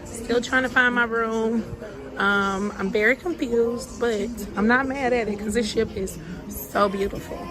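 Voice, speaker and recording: A young woman with a hoarse voice, chatting excitedly to her viewers in a casual travel vlog. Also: on the high side, measured pace, low-quality recording. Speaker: travel vlogger.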